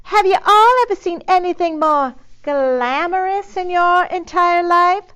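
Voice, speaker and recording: A woman's voice: extremely high pitch, measured pace, a bit bright, highs cut off, voice pushed up. A woman voicing an effusive, doting Texan lady with a drawling Southern accent. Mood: gushing delight. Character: gushing Texan lady, doting on her little dog.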